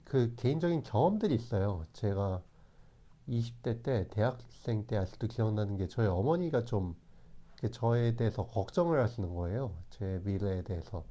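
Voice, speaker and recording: A man in his forties talking in a calm, reflective way, often pausing and restarting his sentences. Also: fairly low pitch. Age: 40s. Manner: calm, hesitant, with many restarts. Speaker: channel host. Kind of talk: reflective talk to camera.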